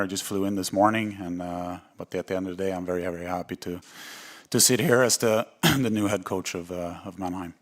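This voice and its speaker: Man, 40s, from Sweden, head coach, very low voice, monotone, measured, repeats words. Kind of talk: press conference answers.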